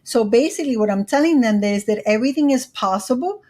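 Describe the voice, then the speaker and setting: A woman's voice: fairly high pitch, lively intonation, fast talker, dark tone. Bilingual woman with a Miami accent. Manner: earnest, frequent "you know" fillers. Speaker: interview guest, credit educator. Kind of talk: casual interview conversation.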